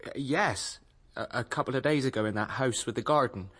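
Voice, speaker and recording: Halting, polite working man, medium-pitched. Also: fairly bright tone, slightly rough. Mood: puzzled, wary. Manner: halting, hesitant. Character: Vincent Green, polite, puzzled, halting driver. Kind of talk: radio drama acting.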